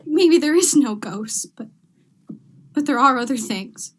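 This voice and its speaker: A woman's voice: high voice, animated, a little slow. A young woman playing a frightened, hysterical female accomplice in vintage radio drama style, stammering and pleading. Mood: fearful. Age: young adult. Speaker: student actor.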